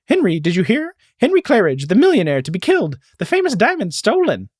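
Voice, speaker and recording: A man voicing an excited old woman with a high-pitched, breathless delivery. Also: a little fast, no echo, clean studio sound, exaggerated voice. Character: excited old woman gossiping about news.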